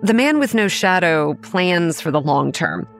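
An actress playing a haunted, wary young campground manager, narrating a horror audio drama in a measured, reflective, grave way. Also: female voice, lowish voice, big pitch swings, soft background music. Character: haunted, wary young woman running a monster-ridden campground. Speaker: narrator and lead character.

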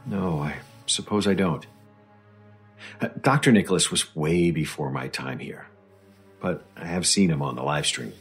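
An actor playing an earnest, patient government psychologist in an audio drama, raspy, placating and a little hesitant. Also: male voice, rumbling low, measured pace, soft background music. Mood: sympathetic.

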